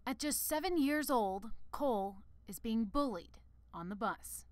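High-pitched woman reporting the news. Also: expressive, a little slow, dry recording. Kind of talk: scripted news narration.

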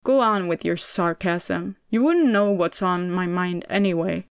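A woman's voice: medium pitch, fairly even intonation, moderate pace, darkish tone, no room sound, close to an everyday voice. A woman voicing a stubborn young city man, hurt and frustrated, in a storybook reading with a dry, close sound. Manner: pleading, emotional delivery.

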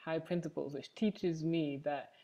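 Low-voiced young Englishwoman. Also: steady tone, measured pace, dark tone, poor audio. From Manchester, England. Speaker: interview guest, panelist. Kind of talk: conversational personal testimony. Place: room at home, indoors, on a video call. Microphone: wired earphones on a video call.

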